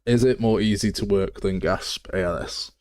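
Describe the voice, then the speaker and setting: A man with a very deep voice and an English Midlands accent, chatting casually and bluntly as he thinks aloud through a live game development tutorial. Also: moderate pace, darkish tone, dry recording, studio quality.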